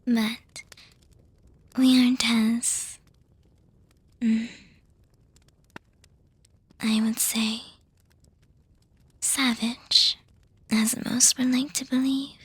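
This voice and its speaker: A very breathy whisper from a woman playing an affectionate young vampire girlfriend in an ASMR roleplay. She speaks extremely slowly and softly, with an even, narrow melody, hesitating with little hums as she gently reassures and teases. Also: fairly high pitch, dry recording, heavily acted. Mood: gentle explaining.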